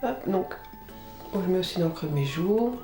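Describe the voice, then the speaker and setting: A woman's voice: lowish voice, expressive, normal speed. Sniffly, chatty woman in an echoey room. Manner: chatty, informal, talking while applying makeup. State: sniffly with a head cold. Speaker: beauty vlogger. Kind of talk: casual makeup tutorial chat. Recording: roomy echo with music behind.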